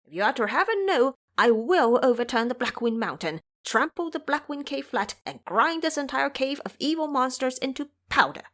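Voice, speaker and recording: A woman voicing a boastful male monkey king warrior who taunts and threatens his foes loudly. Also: sits high, sing-song, moderate pace, hardly any pauses, voice pushed up. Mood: defiant. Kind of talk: audio drama voice acting.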